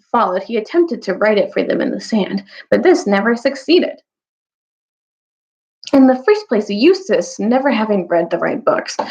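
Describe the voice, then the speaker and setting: A woman storyteller giving an expressive read-aloud of a children's fantasy novel. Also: on the high side. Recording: dull, lo-fi audio.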